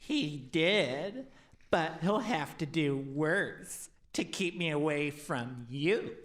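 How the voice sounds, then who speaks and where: A woman's voice: low-pitched, animated, slow pace. A woman playing a stubborn, cheeky young man in an audio folktale, polite but cocky in manner. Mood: smitten, breezy. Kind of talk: audio drama folktale acting.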